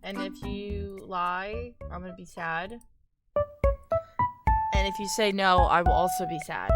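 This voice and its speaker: A woman streamer who sounds congested and hoarse, chatting casually with her viewers and thanking them one by one. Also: medium pitch, steady tone, a little slow, over loud music. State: congested, voice worn out. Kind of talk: casual live-stream chat.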